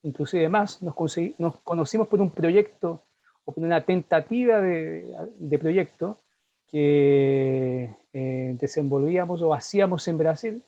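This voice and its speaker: A man's voice: on the high side, unhurried, dark tone, dry recording, highs cut off. A man hosting a university talk, welcoming students and introducing the guest speaker with many hesitations. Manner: hesitant, with frequent fillers and restarts. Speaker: course host introducing the guest. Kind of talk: formal introduction.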